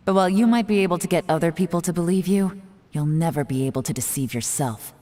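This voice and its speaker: A woman voicing a self-assured, calculating female agent in a video game, with measured, coolly taunting delivery. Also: mellow, a little hiss or hum, close to an everyday voice. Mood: cool, accusing. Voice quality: low-pitched. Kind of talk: scripted game voice acting. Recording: long reverb, faint music behind.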